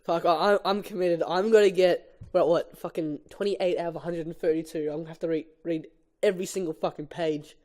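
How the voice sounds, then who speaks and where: A man's voice: a little high, fairly even intonation, a little fast, warm tone. A young man, an amateur reader working through a film script aloud and chatting casually, with a sweary, exasperated edge.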